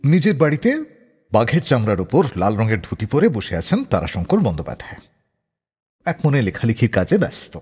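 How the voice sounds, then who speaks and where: A man's voice: medium pitch, huge pitch swings, brisk, darkish tone, slightly rough, big-room sound. A man hosting a radio show, narrating scripted stories in a dramatic storyteller's way. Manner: dramatic storyteller, acts out quoted dialogue.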